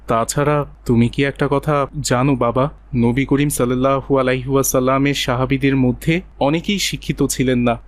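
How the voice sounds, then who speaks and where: A man's voice: medium pitch, close to an everyday voice. A man playing a kindly adult uncle in a moral cartoon story, counselling in an earnest, reassuring way. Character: kindly, encouraging adult uncle advising a boy.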